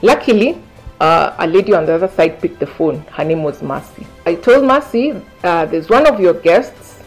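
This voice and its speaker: A woman's voice: lowish voice, lively intonation, normal speed, husky, poor audio. A woman, recounting events conversationally in an indoor interview. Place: indoor living room, seated. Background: faint music.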